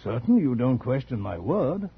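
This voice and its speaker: An actor in a 1940s radio drama playing a genteel, scholarly collector who is sly and quietly menacing, with polite, precise, insinuating speech. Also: male voice, medium pitch, big pitch swings, normal speed, creaky, slightly rough, full character voice. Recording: dull, slightly noisy 1940s radio recording.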